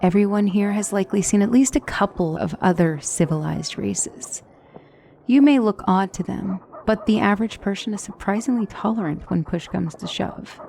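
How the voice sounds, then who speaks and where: A woman playing a poised, formal demonic tutor in a fantasy ASMR roleplay, speaking as a wise and gently reassuring mentor with measured, courtly phrasing. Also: mid-range voice, vocal fry, slight background noise, lightly acted. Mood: reassuring, instructive. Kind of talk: ASMR fantasy roleplay, scripted character acting.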